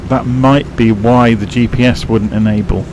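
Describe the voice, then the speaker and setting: Man, croaky tired voice, gravelly, casual rambling explanation, background noise. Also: mid-range voice, fairly even intonation, normal speed. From England. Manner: rambling, explanatory, self-correcting. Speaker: flight sim streamer. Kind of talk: casual live-stream commentary.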